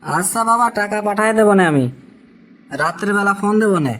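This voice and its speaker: A man's voice: high-pitched, quick pace, a bit bright, slight background noise. A man voicing a weary, dutiful young worker living abroad in a cartoon, with a Bangladeshi accent. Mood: polite, obliging. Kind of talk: cartoon dialogue.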